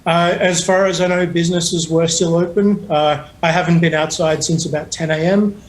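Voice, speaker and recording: A man interviewed remotely for the news, speaking hesitantly with soft restarts. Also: a little high, fairly even intonation, moderate pace, rough recording. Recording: faint background noise.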